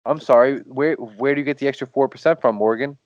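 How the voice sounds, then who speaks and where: A man with a middle-pitched voice trades teasing jokes in a casual sports chat. The recording is dry and somewhat dull. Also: quick pace, darkish tone, dry recording. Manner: joking, teasing. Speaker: podcast co-host. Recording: dry, dull lo-fi podcast audio.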